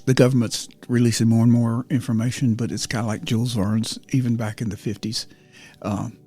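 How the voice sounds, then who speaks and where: Mellow, husky male podcast host. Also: mid-range voice, flat delivery, brisk, faint music behind. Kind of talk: casual rambling podcast introduction.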